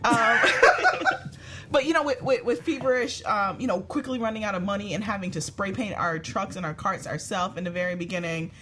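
A woman's voice: medium pitch, moderate pace, noisy background. A woman guest on a talk show, telling stories in a casual, candid way. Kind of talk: casual conversational storytelling.

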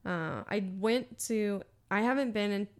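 A woman's voice: mid-range voice, unhurried. American woman hosting her podcast, chatty, emphatic, laughs a lot. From United States. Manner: animated, laughs often, emphatic. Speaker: podcast host. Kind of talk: casual conversation.

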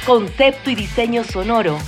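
A woman's voice: fairly high pitch, moderate pace, over music. A woman reading scripted credits as the announcer of a radio drama. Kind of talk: scripted narration.

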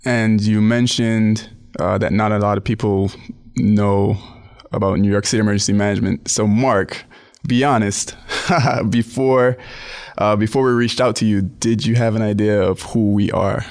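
A man from Barbados with a fairly low voice co-hosts a podcast. He speaks conversationally, in the manner of a spokesman presenting to listeners. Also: fairly even intonation, moderate pace, darkish tone. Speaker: podcast co-host and press secretary.

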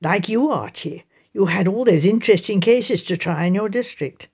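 A woman voicing an eager, admiring English wife of a colonel, coaxing and excited. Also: mid-range voice, moderate pace, slightly rough.

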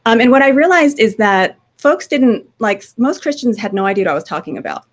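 A woman's voice: a little high, lively intonation, fairly quick. A woman historian with a scratchy voice giving informal panel remarks, conversational and searching for her words.

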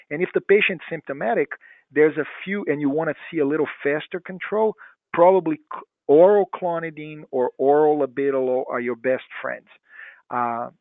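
A Brazilian man, a physician and native Portuguese speaker, talks through treatment advice at a measured, mid-range pitch. He often breaks off and restarts a phrase. His voice comes over a thin, phone-like line that sounds dry, with no room echo. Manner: explanatory, stops to restart phrases. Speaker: guest physician. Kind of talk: clinical podcast interview.

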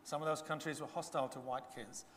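A man's voice: fairly high pitch, steady tone, fast talker, a bit bright, a little hiss or hum. Older man giving testimony. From Zambia. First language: Bemba. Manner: humorous, self-deprecating storytelling. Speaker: guest speaker giving testimony. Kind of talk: church testimony talk. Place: church hall. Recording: reverberant hall, faint ambience.

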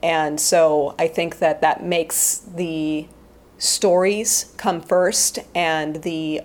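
A woman talking reflectively in an interview as a Foley artist, articulate and thoughtful, with measured phrasing. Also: low voice, fairly even intonation, a little mellow. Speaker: guest, Foley artist and theater performer.

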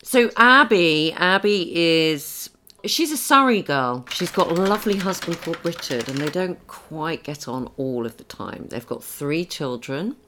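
A woman's voice: low voice, lively intonation, a little slow, sharp tone, slight background noise. A woman who works as a voice actor, talking casually in an interview. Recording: music behind the voice.

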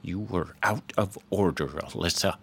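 Grumpy older father for radio drama: actor with lowish, gravelly voice, curt and weary. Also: a little slow, fairly bright tone, heavily acted. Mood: stern.

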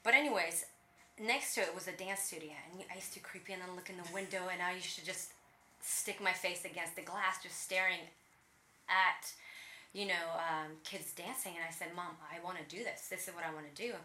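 A woman's voice: lowish voice, brisk, reverberant, slight background noise. A woman from Latvia whose first language is Russian, telling her story as an interview guest with lots of energy and laughter. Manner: energetic, laughs often, animated. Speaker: guest, personal trainer.

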